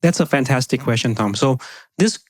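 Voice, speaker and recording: A man's voice: medium pitch, animated, normal speed, no echo. Earnest Thai-born man, dry sound. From Thailand. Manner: earnest, plain phrasing with small grammar slips. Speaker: guest, company founder. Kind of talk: podcast interview.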